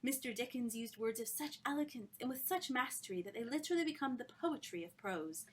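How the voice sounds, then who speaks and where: A woman reading a story, voicing an effusive, theatrical literary society hostess in a high, thrilling voice. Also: expressive, fairly quick, breathy edge. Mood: gushing.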